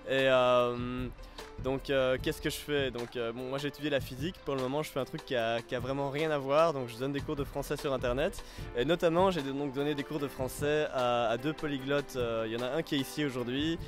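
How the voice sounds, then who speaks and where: A man's voice: medium pitch, steady tone, a little fast, faint music behind. A man, a guest answering interview questions in a hesitant, casual way full of fillers and restarts. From Belgium. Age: 20s. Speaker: interview guest, French tutor.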